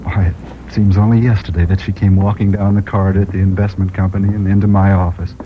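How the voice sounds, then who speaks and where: Vintage radio drama: man as smug executive, deep voice, confessional tone, dull and noisy old recording. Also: flat delivery, lightly acted. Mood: wistful confession. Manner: wistful, deliberate, self-satisfied. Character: self-satisfied male investment boss, wistfully confessing. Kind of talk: 1940s radio drama, first-person confession.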